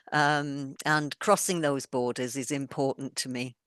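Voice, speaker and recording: A woman's voice: rumbling low, takes it slowly, fairly bright tone, clean tone, dry recording, clean studio sound. A middle-aged woman author with a mixed British accent, a touch of Welsh and Yorkshire, talking thoughtfully in an interview. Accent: mixed British, with a little Welsh and Yorkshire. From born in England, raised moving around the world. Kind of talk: casual conversation.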